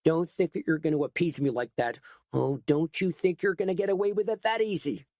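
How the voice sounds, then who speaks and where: A man's voice: a little high, lively intonation, moderate pace, dry recording, clean studio sound, voice pushed up. A man voicing an angry middle-aged store owner who talks in a tight, agitated voice. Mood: furious. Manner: tight, agitated, rising to shouting.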